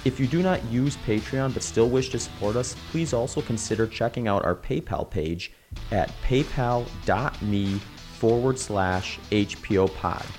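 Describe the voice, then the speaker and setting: A man's voice: medium pitch, unhurried, a little mellow. A man reading a scripted podcast introduction as the announcer. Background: guitar music.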